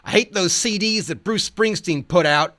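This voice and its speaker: A man voicing an eccentric, excitable cowboy singer who declaims loudly and boastfully, in an audiobook. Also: high-pitched, moderate pace, no room sound, voice pushed up. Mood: indignant howling. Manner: loud, emphatic declaiming.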